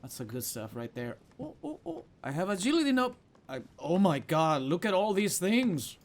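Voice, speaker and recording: Animated, high-voiced man reacting to a game. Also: moderate pace. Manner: theatrical, exclamatory reactions. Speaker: video game streamer. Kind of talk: casual gameplay commentary.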